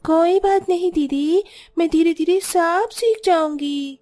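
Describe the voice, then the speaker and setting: A woman's voice: very high-pitched, slow, warm tone, faint music behind, voice pushed up. A woman voicing a sweet, earnest young Bengali sister-in-law in a cartoon, politely drawing out her words with a Bengali accent.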